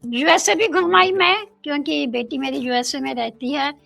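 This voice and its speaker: An older woman from India, a guest in an interview, speaking in a slow, thoughtful way and mixing in English phrases. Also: high-pitched, creaky, fairly bright tone, dry recording, soft background music.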